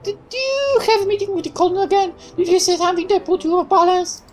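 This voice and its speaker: A woman reading aloud as a spirited young female mechanic in a visual novel, talking in a high, exclaiming, indignant voice. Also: quick pace, a little mellow, faint music behind. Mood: eager.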